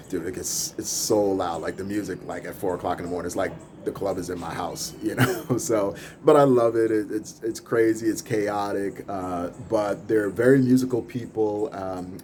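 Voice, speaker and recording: Deep voiced guy rambling on camera from his apartment, laid back, bit of city noise behind. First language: English. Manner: rambling, relaxed, laughs between thoughts. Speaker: podcast host and music producer. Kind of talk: casual off-the-cuff vlog. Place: apartment in a big city, filming out over rooftops.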